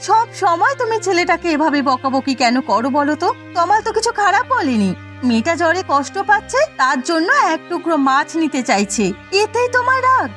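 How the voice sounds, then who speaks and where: Protective village mother for a cartoon, played by a woman: high voice, firm, remonstrating, faint music. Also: very animated, fairly quick, a bit bright, full character voice. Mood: indignant, firm. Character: indignant mother defending her young son. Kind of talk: Bengali cartoon voice acting.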